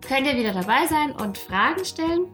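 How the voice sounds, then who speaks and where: Woman podcast co-host, lively and chatty with a raised pitch. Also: a little fast, soft background music. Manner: lively and chatty, laughs often. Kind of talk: casual podcast chat.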